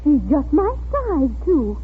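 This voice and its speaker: A woman's voice: high voice, big pitch swings, takes it slowly, exaggerated voice. An actress playing a friendly, frank, unmarried landlady in a 1940s radio drama, chatty and forthright. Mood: delighted. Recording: noisy, tinny old radio recording.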